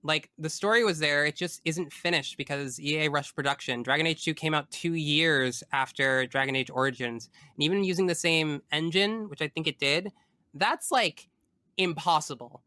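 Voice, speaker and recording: A man's voice: a little high, moderate pace, pushed and bright, no echo. A man talking casually as a podcast guest about video games, opinionated and emphatic, often wandering off into asides.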